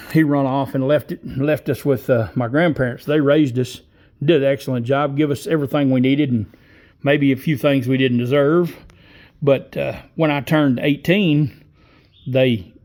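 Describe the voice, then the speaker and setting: A man's voice: medium pitch, darkish tone. Folksy man in his sixties. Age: 60s. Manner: folksy, rambling, frequent "uh" pauses. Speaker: vlogger. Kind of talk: casual storytelling monologue.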